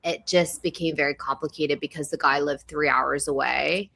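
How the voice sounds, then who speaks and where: A woman's voice: deep voice, steady tone, normal speed, vocal fry, bright, forceful tone. A woman in her thirties on a talk panel, speaking earnestly with many filler words. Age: 30s. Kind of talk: casual panel chat.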